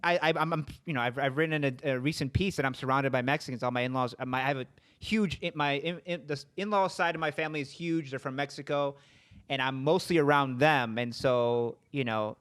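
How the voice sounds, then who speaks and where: A man's voice: medium pitch, fairly quick, a bit bright. A man hosting a podcast interview, talking casually with lots of restarts and fillers. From Puerto Rican family, raised in US. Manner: rambling, many restarts and fillers. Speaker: podcast host.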